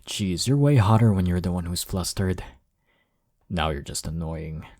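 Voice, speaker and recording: A man's voice: deep voice, animated, moderate pace, a little mellow, dry recording, studio quality. A man playing a cocky, teasing young man flustered by his crush, in an intimate romantic audio roleplay. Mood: annoyed, smug. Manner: teasing, chuckling, sighing, stammering when flustered.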